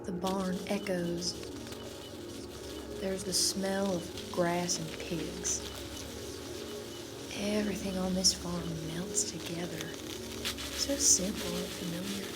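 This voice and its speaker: A woman acting a radio play monologue as a dreamy, unsettled woman obsessed, intimate and confessional, often slipping into breathy whispers. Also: on the low side, level tone, slow pace, long pauses, heavy background noise. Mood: feverish, entranced. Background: music and sound design.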